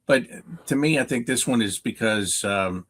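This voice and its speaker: A man with a North American accent and a mid-pitched voice talks sports off the cuff as a guest analyst. He is emphatic, asking questions and then answering them himself. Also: normal speed, fairly bright tone, a little hiss or hum. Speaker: hockey play-by-play announcer as guest analyst. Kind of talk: unscripted sports talk, analysis.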